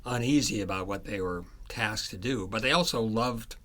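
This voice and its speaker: A man speaking as an author in a conversational interview about his book, halting as he searches for words. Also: on the low side, sharp tone.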